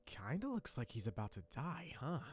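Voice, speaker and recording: A man's voice: medium pitch, very animated, normal speed, faint music behind, close to an everyday voice. A man playing a cocky, awkward teenage boy hero in an anime fan dub, brash and jokey. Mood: casual. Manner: brash, jokey, dramatic outbursts.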